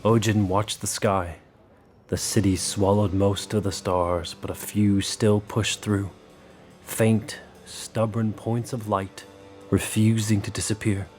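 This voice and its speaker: A man reads scripted, dramatic story narration. His voice is low, and music plays beneath his words. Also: little pitch movement, slow, a little mellow. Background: music under the voice.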